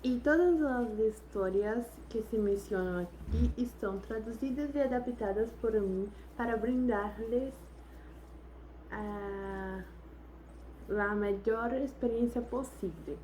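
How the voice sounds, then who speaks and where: Woman host, Spanish, hesitant, slow, warm slightly high voice, faint background noise. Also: rough recording. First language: not Spanish. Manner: hesitant, apologetic, searching for words. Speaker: channel host. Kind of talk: casual channel intro.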